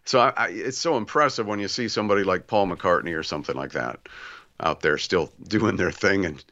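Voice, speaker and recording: Deep-voiced man with halting speech. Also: pushed and bright, no room sound. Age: middle-aged. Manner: halting, repeats words. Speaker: podcast co-host. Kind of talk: casual chat. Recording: dull lo-fi recording.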